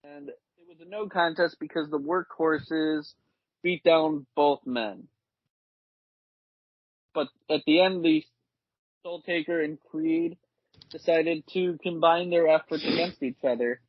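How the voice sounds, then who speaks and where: A man with a lively, bouncing delivery and a slightly husky, higher voice, chatting as podcast co-host. The sound is dry and a bit dull, like lo-fi home recording. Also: slow pace, long pauses, dry recording. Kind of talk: casual chat, recapping wrestling results.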